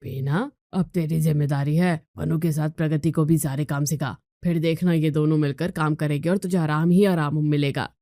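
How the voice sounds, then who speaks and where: A woman's voice: low-pitched, steady tone, normal speed, few pauses, dark tone, no echo, clean studio sound, pitched below the performer's own voice, natural voice. A woman voicing a stern middle-aged father who gives firm instructions in a family storybook tale.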